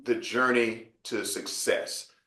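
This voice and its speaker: A man's voice: mid-range voice, steady tone, takes it slowly, pushed and bright. A man hosting an interview, asking questions in an easygoing, encouraging way. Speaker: interview host. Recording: roomy echo.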